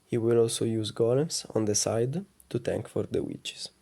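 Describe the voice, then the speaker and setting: A man with a low, soft voice giving calm, methodical gameplay commentary as a voice-over. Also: moderate pace, vocal fry. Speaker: gaming channel host.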